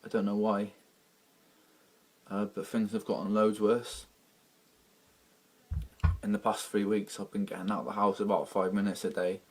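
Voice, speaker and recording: A man from Wales, speaking in a halting, emotional video diary while distressed and close to tears. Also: lowish voice, flat delivery. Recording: old archival video clip.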